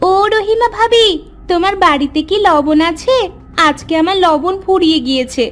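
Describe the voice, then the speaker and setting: A woman's voice: very high voice, moderate pace, a bit bright, voice pushed up. A woman voicing a polite village neighbour in a cartoon, friendly and deferential, with music behind. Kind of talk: cartoon character acting.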